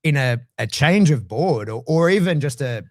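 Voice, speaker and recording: A middle-aged Australian man talking casually as a guest, blunt and swearing freely, with lively swings in his voice. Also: medium pitch, moderate pace, dry recording, studio quality. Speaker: guest dog trainer. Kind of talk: casual conversation.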